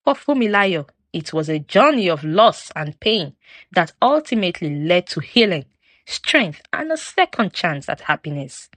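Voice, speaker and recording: A woman narrating a folktale from a script in a solemn, expressive, dramatic storytelling style. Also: lowish voice, measured pace, no room sound.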